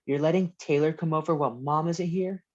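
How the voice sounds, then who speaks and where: A man's voice: on the high side, fairly quick, a little mellow, slight background noise, natural voice. A man playing a skeptical, dry-witted young boy in an amateur table read, on dull call audio.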